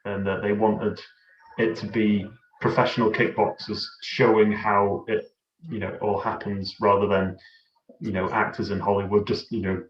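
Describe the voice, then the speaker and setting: A man co-hosting a podcast, casually discussing a film in a halting, wry way. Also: fairly low pitch, flat delivery. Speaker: podcast co-host. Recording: slightly dull, echoey room.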